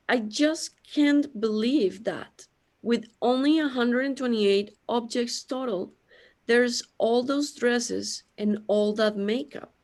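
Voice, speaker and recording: A woman's voice: on the high side, a little mellow. A woman acting an anxious, bewildered character overwhelmed by existential dread in a sci-fi audio drama.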